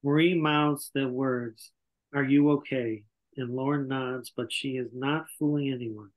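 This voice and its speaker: A man's voice: medium pitch, steady tone, measured pace, rough recording. An older man reading scripted stage directions as narrator at a table read over a video call. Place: indoor room on a video call. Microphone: video call microphone.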